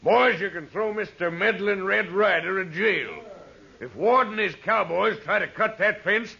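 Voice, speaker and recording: An actor playing a scheming, gloating western swindler with folksy taunts, in old-time radio acting. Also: male voice, high voice, a little slow, creaky, pushed and bright, breathy edge, exaggerated voice. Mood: commanding. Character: scheming, sneering male western villain, gloating land swindler. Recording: muffled old radio recording.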